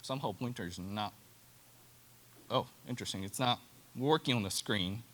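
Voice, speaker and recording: A man from Japan with a Japanese accent gives an informal educational presentation in halting, simple non-native English. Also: on the low side, a bit bright. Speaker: cattle genetics specialist, presenter.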